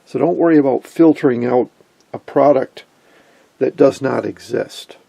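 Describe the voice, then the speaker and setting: Gravelly, husky man, unhurried. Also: mid-range voice, dark tone, no echo. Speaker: electronics hobbyist presenter. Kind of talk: informal hands-on tutorial narration. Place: indoor electronics workbench.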